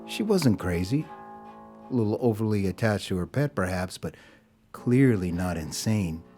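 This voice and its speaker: A man with a fairly low voice narrates a scripted story for an audio drama. He tells it as a dramatic storyteller, with soft music underneath. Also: expressive, moderate pace.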